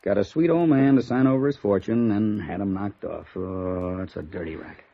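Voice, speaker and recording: Gravelly streetwise radio-noir lawman. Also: fairly low pitch, moderate pace. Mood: knowing. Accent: American. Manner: slangy, colloquial. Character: streetwise, slangy male police contact, world-weary and helpful. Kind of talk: 1950s radio noir acting. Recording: dull roomy vintage radio recording.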